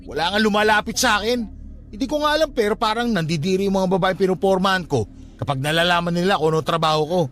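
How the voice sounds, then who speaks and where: High-voiced, stubborn, haunted young man. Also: fast, fairly bright tone, soft background music. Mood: bitter. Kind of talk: radio drama acting.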